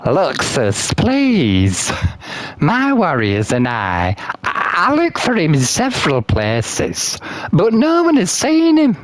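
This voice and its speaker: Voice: mid-range voice, wildly swooping pitch, slow pace, dry recording. A gamer voicing an earnest, worried big male warrior leader in a hesitant, pleading way.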